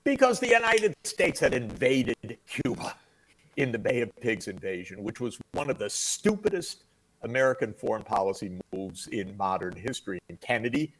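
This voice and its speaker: A man explaining history at length in a measured, lecturing way, heard in a played clip. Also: medium pitch, sing-song, low-quality recording. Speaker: commentator in a played interview clip.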